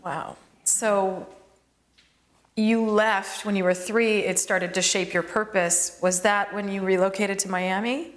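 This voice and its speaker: A woman hosting a relaxed interview in a middle-pitched voice. She asks simple questions and nudges the conversation along with brief, encouraging replies. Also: slow pace. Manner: encouraging, with short backchannel replies.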